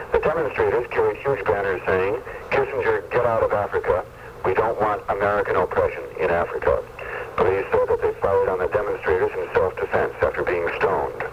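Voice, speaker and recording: A male foreign correspondent reads a scripted report in a deep voice. The recording is a muffled AM radio broadcast on cassette, with clear noise.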